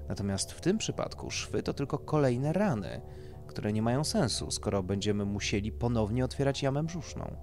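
A man's voice: mid-range voice, pitched above the performer's own voice, close to an everyday voice. A man voicing a polite, tactful male doctor who explains procedures clinically in an audiobook. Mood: explanatory. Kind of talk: audiobook character dialogue.

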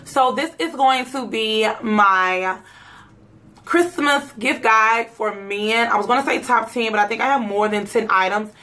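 A woman in her thirties, hoarse from a cold, chatting casually to camera in her bedroom about gift ideas, rambling with lots of "um" and "like". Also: on the high side, measured pace, bright, forceful tone. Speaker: YouTube vlogger. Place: bedroom at home. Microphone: camera mic, seated facing camera.

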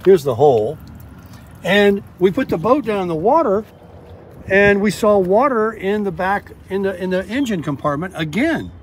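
An older man vlogging outdoors at a boat ramp, talking in a chatty, animated way with lots of fillers. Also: high voice, moderate pace, slight background noise. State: out of breath at times. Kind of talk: casual vlog narration. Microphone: camera or selfie stick at arm's length.

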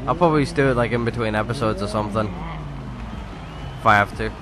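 A man's voice: fairly low pitch, fast talker. A man commentating on a racing game casually, a bit nasal from a cold, rambling with lots of ums. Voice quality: slightly nasal from a lingering cold. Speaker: gaming YouTuber. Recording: game audio noise behind. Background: racing game sounds.

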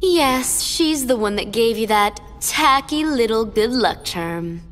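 A woman's voice: fairly high pitch, animated, slow pace, big-room sound. An actress voicing a sadistic young female villain in a game, taunting with a mocking sing-song manner. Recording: game cutscene with loud music.

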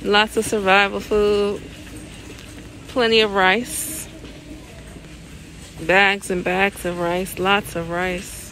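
A woman's voice: medium pitch, flat delivery, takes it slowly, long pauses, rough recording. A woman vlogging on her phone as she walks through a big warehouse store, giving a chatty running commentary and repeating items as she spots them. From California, USA. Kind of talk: casual shopping walkthrough vlog. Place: in a car, then inside a large warehouse store. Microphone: phone camera held at arm's length. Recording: phone audio with loud store background noise.

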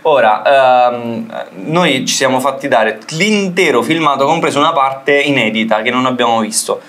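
A man's voice: mid-range voice, moderate pace, a bit bright, a little hiss or hum. A man in his thirties chatting casually as host of a gaming reaction video in a room at home.

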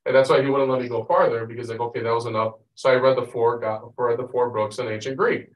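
A man leads a study group in a casual, wandering way, full of asides. His medium-pitched voice comes across a meeting room, echoey and distant from the microphone. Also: level tone, fast talker. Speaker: Bible study leader. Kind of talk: casual group teaching and chat. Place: meeting room with long conference table. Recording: roomy, picked up from a distance.

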